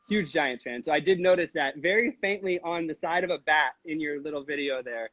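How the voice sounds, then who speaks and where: A man from Sacramento hosting a casual podcast interview, enthusiastic and quick to laugh. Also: fairly high pitch, a bit bright, no room sound, muffled recording. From Sacramento, Northern California. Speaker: podcast host, real estate agent.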